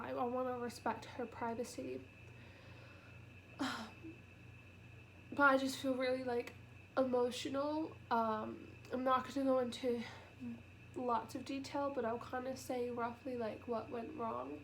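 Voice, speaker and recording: A young woman with a cold, sniffly and nasal, talking softly and haltingly in a personal vlog, close to tears. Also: sits high, slight background noise, poor audio. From United Kingdom. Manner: halting and soft-spoken, with many pauses and fillers. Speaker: vlogger. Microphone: camera.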